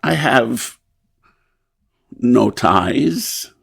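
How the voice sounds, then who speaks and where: A man's voice: medium pitch, expressive, extremely slow, vocal fry, fairly bright tone, breathy edge, dry recording, studio quality, exaggerated voice. A man playing an anguished composer who fears madness in an old-time radio drama, intense, brooding and theatrical. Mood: desperate. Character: haunted, obsessed male composer, anguished and fearful of madness.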